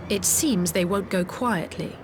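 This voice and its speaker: A woman playing a guarded, sharp-tongued warrior companion in a video game cutscene, formal and pointed in manner. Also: mid-range voice, a little slow, very noisy, lightly acted. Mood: wary, composed. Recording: loud music behind the voice.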